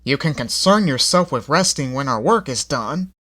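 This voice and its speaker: A man's voice: medium pitch, lively intonation, moderate pace, pushed and bright. A man voicing a stern old tram engine who scolds stubborn coaches. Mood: scolding.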